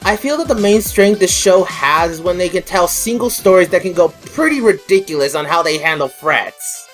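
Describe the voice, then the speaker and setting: An informal, opinionated reviewer reads a scripted review. The pitch sits in a middle range, and music plays behind the narration. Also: lively intonation, moderate pace. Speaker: video reviewer and host.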